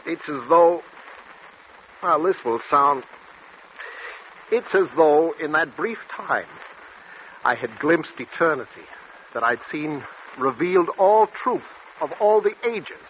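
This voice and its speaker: An actor playing a tormented male patient cursed to blurt out truths, anguished and halting in 1940s radio style. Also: fairly high pitch, expressive. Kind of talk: 1940s radio drama acting. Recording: muffled, noisy 1940s radio recording.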